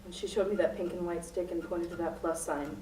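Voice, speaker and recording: A woman in a student radio play acting a desperate, unhinged hostage-taker, melodramatic, moving between hushed recollection and frantic cries. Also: on the low side, steady tone, a little fast, a little hiss or hum, natural voice. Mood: numb, hushed. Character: unhinged, desperate female hostage-taker confessing her crimes. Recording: roomy, distant recording with music behind.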